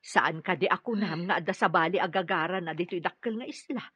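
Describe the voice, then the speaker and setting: A woman playing a caring, worried mother in a radio drama, soothing and expressive. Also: on the low side, brisk, husky, no room sound. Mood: reassuring. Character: caring, worried mother who sells goods. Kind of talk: Ilocano radio drama acting. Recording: slightly muffled, dry studio recording.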